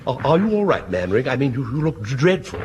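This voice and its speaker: An actor playing a fussy, hesitant army officer with an English accent and a stammer in a British sitcom. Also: male voice, mid-range voice, big pitch swings, quick pace, a little mellow, slight background noise, lightly acted. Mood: startled, concerned. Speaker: actor in a comedy.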